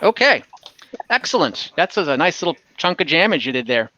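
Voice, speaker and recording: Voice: medium pitch, very animated, normal speed, pushed and bright, highs cut off. A game master narrating and refereeing an online tabletop roleplaying game in a conversational, explanatory way.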